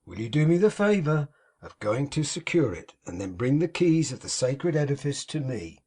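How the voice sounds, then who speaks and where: A man voicing a pompous, sanctimonious middle-aged gentleman in an audiobook, with a slightly husky, raised voice and grand theatrical phrasing. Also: on the high side, big pitch swings, moderate pace, exaggerated voice. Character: pompous hypocritical middle-aged male architect.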